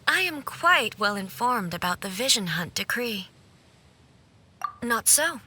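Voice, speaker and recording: A woman playing an aloof immortal ruler in a video game, cold, stately and formal, with haughty deliberate delivery. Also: on the high side, big pitch swings, pushed and bright, husky, heavily acted. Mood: calm, resolute.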